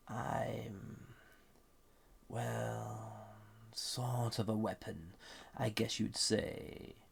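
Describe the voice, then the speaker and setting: A man playing a lonely, flirty banished villain, an ancient living weapon, in an intimate audio roleplay with a breathy, gravelly voice and dramatic pauses. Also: lowish voice, exaggerated voice. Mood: tender, hesitant. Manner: hesitant, soft-spoken, intimate, dramatic pauses.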